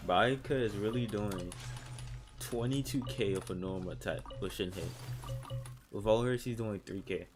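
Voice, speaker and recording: A man's voice: fairly low pitch, moderate pace. A man giving casual gameplay commentary, talking to himself in slang. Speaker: gaming streamer. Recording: game music behind the voice. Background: video game music.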